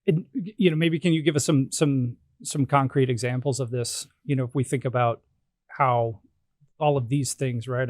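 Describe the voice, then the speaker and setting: A man's voice: mid-range voice, darkish tone, no echo, clean studio sound. A man guest-hosting a podcast, introducing a guest and asking rambling questions filled with "you know".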